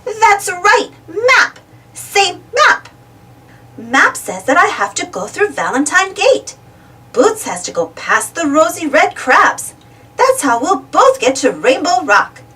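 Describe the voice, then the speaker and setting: Little girl adventurer, played by a woman: eager, exclamatory, storybook read-aloud, very animated, slow clear questions to the listener. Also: sits high, far from the mic, exaggerated voice.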